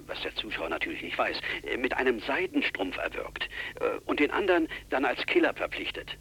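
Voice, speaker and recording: A man's voice: medium pitch, very animated, a little fast, fairly bright tone, slightly rough, heavily acted. An actor in a German radio play, playing a man who rambles through an absurd life story with many hesitating filler sounds. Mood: rambling. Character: a rambling man reciting an absurd biography, hesitant and matter-of-fact. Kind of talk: 1990s radio play acting.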